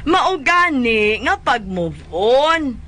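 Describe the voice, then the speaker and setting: A woman playing a brisk, blunt, nosy widow in a Bisaya comedy radio drama, exclaiming in a Filipino accent. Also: sits high, big pitch swings, sharp tone, exaggerated voice. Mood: blunt, lively.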